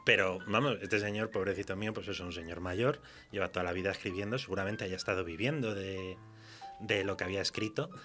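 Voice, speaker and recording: A man from Spain with a fairly low voice speaks as a guest writer in a radio interview. He has a Spanish accent and a colloquial, chatty way of spinning anecdotes. There is some music with the voice. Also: fairly quick, pushed and bright. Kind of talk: informal radio interview answers.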